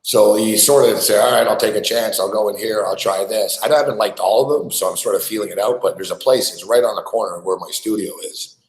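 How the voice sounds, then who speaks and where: Loud, profane New man, echoey studio. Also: lowish voice, fairly quick, few pauses. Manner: loud, profane, rambling banter. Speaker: sports betting show host. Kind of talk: casual live-stream chat while eating. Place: small studio. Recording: echoey room.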